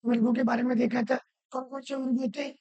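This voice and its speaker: Woman, hoarse from a cold, grammar teacher, high pitch, repeats rules loudly, dry recording. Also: flat delivery, fast, dry recording, highs cut off. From Rajasthan. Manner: asks questions and answers them herself, repeats rules loudly. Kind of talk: classroom-style lesson.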